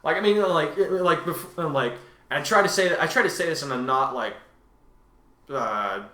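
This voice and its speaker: A man's voice: a little high, lively intonation, moderate pace, pushed and bright. A man in casual, chatty conversation, answering reviews as a co-host in an informal way. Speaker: fan fiction author and co-host. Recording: echoey room.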